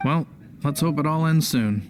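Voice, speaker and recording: A man's voice: fairly high pitch, moderate pace, over music, natural voice. An actor playing a hesitant, polite male tavern employee in a video game, tentative and deferential. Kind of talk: animated game voice acting.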